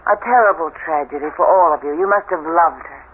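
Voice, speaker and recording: An actress playing a hopeful, nervous new bride, earnest and expressive, in a radio mystery. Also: female voice, low-pitched, fast talker, narrow, tinny audio, natural voice. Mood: anxious. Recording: thin, hissy old radio recording.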